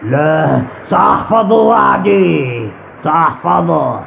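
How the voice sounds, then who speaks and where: A menacing river monster from a cartoon, with a gravelly, fairly high male voice. He speaks in a commanding, theatrical way, proud but honourable. The audio is thin and narrow-band, with faint noise. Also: animated, slow pace, exaggerated voice. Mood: defeated, resigned. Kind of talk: dubbed cartoon acting.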